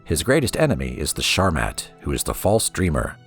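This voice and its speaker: A man's voice: rumbling low, huge pitch swings, fairly quick, gravelly, a bit bright, breathy edge, soft background music, heavily acted. A man reading aloud as a solemn, cryptic god-king who preaches prophecy in a portentous, incantatory way. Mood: grave warning.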